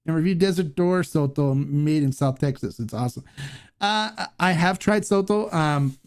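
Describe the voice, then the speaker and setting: A man's voice: on the high side, darkish tone. Rambling man answering live-chat questions. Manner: rambling, halting, many restarts and fillers. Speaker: host of a live Q&A stream. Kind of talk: casual livestream Q&A, reading viewer comments.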